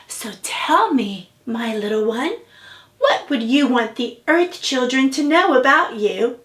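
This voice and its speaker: A woman voicing a gentle, thoughtful moonling mother in a picture-book reading, with soothing bedtime phrasing. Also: high-pitched, sing-song, slow, recorded from a distance, exaggerated voice.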